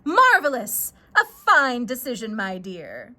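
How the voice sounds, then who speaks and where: A woman plays a short, round storybook swindler with a bright, high voice. The patter is gushing and wheedling, swooping up and down with oily charm. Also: huge pitch swings, slow pace, heavily acted. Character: short round grinning stranger, smooth-talking swindling trader.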